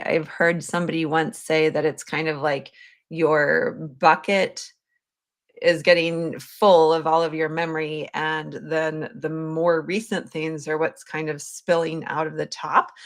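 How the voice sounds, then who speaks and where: A woman with a notably low voice hosts a conversational interview. She speaks slowly and tentatively, often halting and rephrasing as she thinks aloud. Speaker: podcast host.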